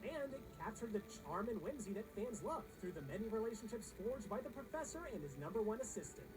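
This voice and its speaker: Higher female voice, very lively intonation, wry countdown narration, slangy, emphatic, music behind. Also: fast, low-quality recording. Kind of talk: scripted, jokey pop-culture countdown narration. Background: music under the voice-over.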